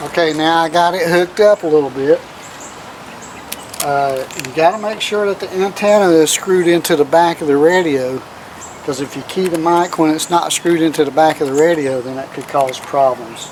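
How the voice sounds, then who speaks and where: A man with a somewhat higher-pitched voice hosts a casual how-to demonstration. His talk is plain and folksy, with informal grammar. He is recorded outdoors, with clearly audible ambient and vehicle noise around him. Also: moderate pace. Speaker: host of a do-it-yourself radio video.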